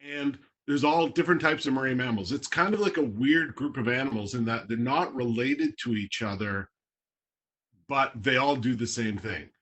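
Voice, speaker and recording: A man's voice: mid-range voice, moderate pace, a bit bright, dull audio. A man from Toronto, Canada, a marine biologist talking casually on a video call, explaining in plain words with a few fillers.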